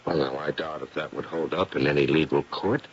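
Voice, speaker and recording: A man playing a courteous, probing gentleman doctor who investigates the supernatural, in 1970s radio drama style. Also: extremely low pitch, steady tone, a little fast. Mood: courteous, curious. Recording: dull, noisy vintage radio broadcast.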